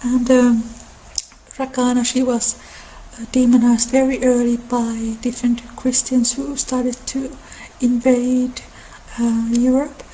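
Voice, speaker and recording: A woman who is not a native English speaker, giving a soft ASMR-style informal lecture in a halting way, as if explaining lore on her own channel. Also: a little high, level tone, a little hiss or hum, rough recording. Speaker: host of a mythology channel.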